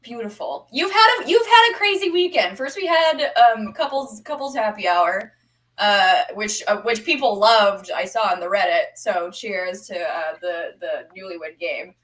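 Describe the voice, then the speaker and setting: A woman's voice: medium pitch, animated, unhurried, a bit bright. A woman around thirty chatting casually as a podcast panelist. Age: 30s. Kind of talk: casual chat.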